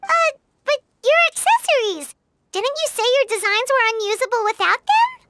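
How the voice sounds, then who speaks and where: A woman voicing a tiny, nosy, excitable female fairy companion who talks about herself in the third person. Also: very high-pitched, normal speed, very noisy. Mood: startled. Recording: game soundtrack music behind. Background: game music.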